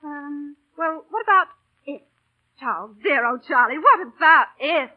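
An actress in an old radio drama playing a bossy but warm-hearted young woman of the theatre, scolding and coaxing in urgent, emotional, colloquial speech. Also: sits high, lively intonation, unhurried. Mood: encouraging, coaxing. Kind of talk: 1930s-40s radio drama acting. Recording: old radio broadcast, phone-like narrow band.

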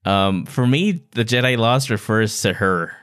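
A man's voice: lowish voice, measured pace, a bit bright, clean tone. Casual, playful man on a podcast. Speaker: book podcast co-host. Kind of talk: casual book discussion.

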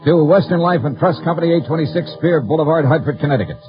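An actor playing a brisk, wry male insurance investigator in a 1950s radio detective show, clipped and businesslike. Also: a little high, music in the background, natural voice. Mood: dry, businesslike. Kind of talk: 1950s radio detective acting and first-person narration. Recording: muffled 1950s radio recording.

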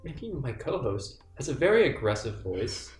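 A young man hosts a loose campus podcast with a teasing, playful way of talking. His voice sits at a middle pitch. The room around him is roomy and echoing. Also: sing-song, brisk. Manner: teasing, joking banter. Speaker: podcast host. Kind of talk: casual chat. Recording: roomy echo, faint music.

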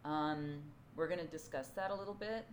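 A woman with a very low voice, hoarse and rough from cheering at a game. She co-hosts a podcast in an easygoing, animated way, full of fillers. A faint hiss sits behind her. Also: moderate pace. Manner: chatty and animated, with lots of fillers. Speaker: podcast co-host. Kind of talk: casual conversational chat.

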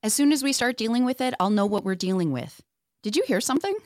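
A woman's voice: a little high, expressive, quick pace, a little mellow, dry recording, natural voice. A woman reading a wry, dejected but brave young heroine in a podcast script table read, with a dry, sarcastic manner. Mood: impatient.

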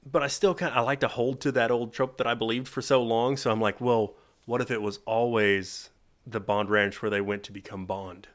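A man co-hosting a podcast in casual chat, excitable, laughing often and swearing now and then. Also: mid-range voice, a little fast. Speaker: podcast co-host.

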